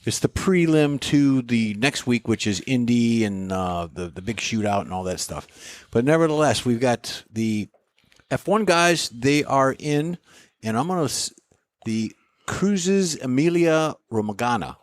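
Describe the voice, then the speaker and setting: A man's voice: medium pitch, expressive, a little slow, dry recording, studio quality. An American man co-hosting a radio show in a casual, chatty style. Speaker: co-host giving racing calendar.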